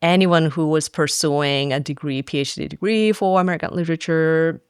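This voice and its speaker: A woman's voice: sits low, moderate pace, clean tone, no echo, clean studio sound. A woman from Japan, a faculty member chatting in an interview, laughing often and filling with "like". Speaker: faculty guest. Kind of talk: casual interview chat.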